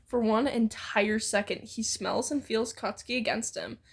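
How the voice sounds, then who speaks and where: High, creaky, husky woman reading aloud. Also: steady tone, moderate pace. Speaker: fan fiction co-reader. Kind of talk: casual read-aloud narration of fan fiction.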